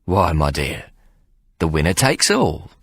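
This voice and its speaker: A man's voice: a bass voice, wildly swooping pitch, slow pace, fairly bright tone, breathy edge, no room sound, full character voice. A man voicing a sly, scheming male showman who announces grandly and wheedles. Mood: grand announcing.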